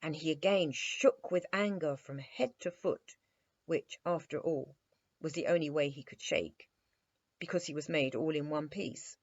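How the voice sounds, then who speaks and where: A woman's voice: low voice, unhurried, no echo, dull audio. A woman storyteller narrating a children's bedtime story expressively.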